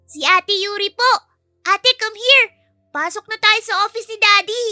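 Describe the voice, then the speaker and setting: A woman's voice: very high-pitched, normal speed, bright, forceful tone, no echo, faint music behind, pitched above the performer's own voice, heavily acted. A woman voicing a cheeky, sweet, outspoken young child in an audio story, with a chirpy, teasing way of talking. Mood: excited, sweet. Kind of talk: acted audio story dialogue.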